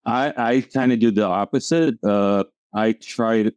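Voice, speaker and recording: A man's voice: on the low side, unhurried, a little mellow, clear, resonant tone, no echo. A man, a comic strip creator, chatting casually as an interview guest, rambling and often restarting his sentences. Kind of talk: casual conversation. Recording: dull lo-fi audio.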